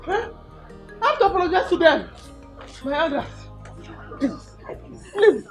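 An actress playing a distraught, erratic young village daughter with a Nigerian accent, repeating herself and pleading in melodramatic film style. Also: female voice, very high-pitched, sing-song, measured pace, music in the background, exaggerated voice. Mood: agitated pleading. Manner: repetitive, pleading.

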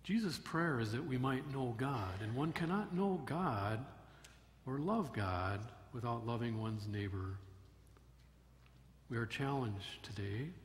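An older man giving a sermon from a church pulpit, telling a story in a measured way with emphatic rises. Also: medium pitch, lively intonation. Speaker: pastor. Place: church sanctuary at a wooden pulpit. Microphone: pulpit microphone. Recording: long church reverb, faint room noise.

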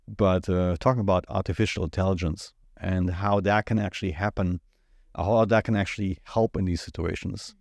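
A man in his forties with a German accent, chatting casually on a podcast in a small studio, relaxed and rambling with plenty of fillers. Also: sits low, moderate pace, creaky, no echo. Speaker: IT company owner, podcast co-host. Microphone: studio mic on boom at the mouth.

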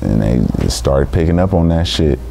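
A man in his thirties from San Francisco, a rapper being interviewed in a studio, talking in a slangy, laid-back street manner with a very deep voice. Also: big pitch swings, normal speed, vocal fry, dark tone, faint music behind. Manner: slangy, laid-back street talk, constant "you feel me". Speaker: rapper guest. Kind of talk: casual interview talk. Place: small recording studio room.

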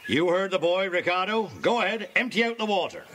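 An actor playing a kindly, commanding police inspector in an audio drama, firm and genial. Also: male voice, sits high, animated, fairly quick, heavy background noise.

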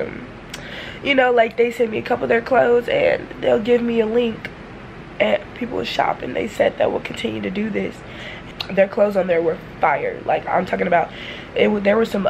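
A woman's voice: medium pitch, vocal fry, background noise. A young woman in her twenties vlogging casually, talking straight to her viewers in loose slang, recorded close on a handheld phone. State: raspy morning voice. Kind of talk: casual vlog chat. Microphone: handheld phone camera close up.

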